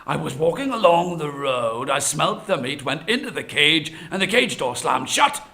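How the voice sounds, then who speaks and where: Man playing a sly, loud, hungry storybook tiger. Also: a little high, very animated, full character voice. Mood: hungry, impatient. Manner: roaring, drawn-out wheedling, blunt demands. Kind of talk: children's folktale storytelling.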